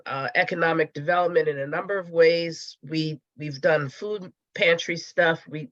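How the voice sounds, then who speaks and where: A woman's voice: deep voice, fairly bright tone, no echo. Congested woman caller, dull dry sound. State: congested from a cold. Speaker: caller, grant writer. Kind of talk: casual self-introduction on a call.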